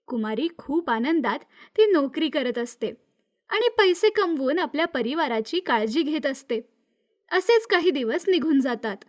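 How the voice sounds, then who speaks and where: A woman narrating a scripted animated moral story. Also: high-pitched.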